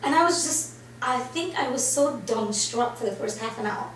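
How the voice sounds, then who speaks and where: A woman from Trinidad telling her life story as an interview guest, animated, acting out the reactions she quotes. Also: fairly high pitch, moderate pace. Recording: roomy and distant, faint noise.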